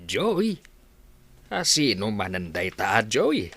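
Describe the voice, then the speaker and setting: A befuddled old grandfather in a comedy radio play, put on by a woman, his voice dropped extremely low and swooping wildly up and down. He speaks slowly and repeats questions back in confusion, and he sounds a little off from the mic in a dry room. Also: wildly swooping pitch, fairly bright tone, breathy edge, heavily acted. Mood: confused. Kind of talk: radio comedy drama acting.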